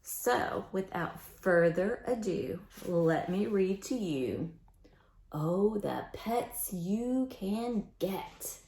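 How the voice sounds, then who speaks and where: Slow, lively woman reading to children, roomy sound. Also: mid-range voice, a little hiss or hum. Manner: sing-song, asks questions and repeats lines. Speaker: children's story reader. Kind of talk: read-aloud storytime for young children.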